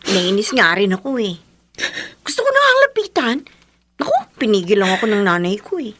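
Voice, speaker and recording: An actress playing an indignant, loyal young friend in a Philippine radio drama, emotional and sympathetic, with a Filipino accent. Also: female voice, mid-range voice, sing-song, moderate pace, a bit bright, no echo. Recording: dry studio with music underneath.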